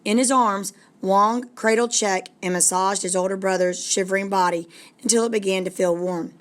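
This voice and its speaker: A woman reading a story aloud as a narrator, in a deliberate, dramatic storytelling manner. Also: mid-range voice, steady tone. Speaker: storybook reader. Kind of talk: expressive read-aloud narration.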